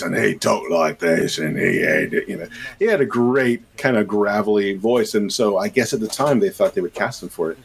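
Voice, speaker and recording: Man, low voice, husky, rambling interview guest, video call from a small room. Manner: rambling, many fillers and restarts. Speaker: game composer and sound designer, guest. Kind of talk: casual podcast interview.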